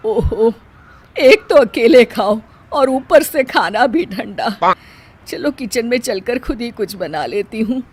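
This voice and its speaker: A feisty village mother-in-law, acted by a woman, with a somewhat high voice. She complains plaintively and expressively, in the style of a domestic audio storybook. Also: expressive, slow, a little mellow, husky, heavily acted. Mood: grumbling.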